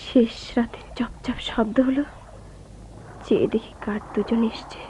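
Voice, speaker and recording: A woman's voice: a little high, fairly even intonation, unhurried, warm tone, whispered, lightly acted. An actress playing a grieving young woman who waits for her lost lover, with poetic, theatrical delivery in a radio drama. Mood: wistful, haunted. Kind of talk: Bengali radio drama acting. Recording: dull, lo-fi, noisy old radio recording.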